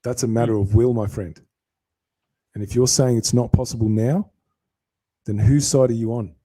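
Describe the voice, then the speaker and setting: A man's voice: medium pitch, fairly even intonation, moderate pace, mellow, poor audio. A man on a live stream, answering viewer comments in a lecturing way.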